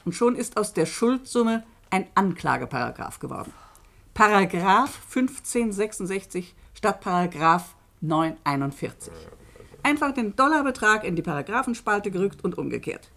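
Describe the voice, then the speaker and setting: An actress playing a radio play presenter who explains a case in a pointed, emphatic, ironic way. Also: female voice, mid-range voice, big pitch swings, moderate pace, vocal fry, a bit bright. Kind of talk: German radio drama narration addressed to listeners.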